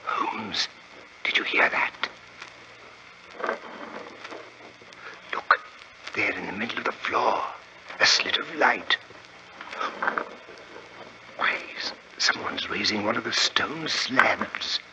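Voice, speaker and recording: An actor playing a fussy, fretful British gentleman, blustering through a 1940s radio drama on an old recording. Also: fairly low pitch, animated, slow pace, pauses often, noisy background. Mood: alarmed whispering. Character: fussy, easily flustered English gentleman, anxious companion.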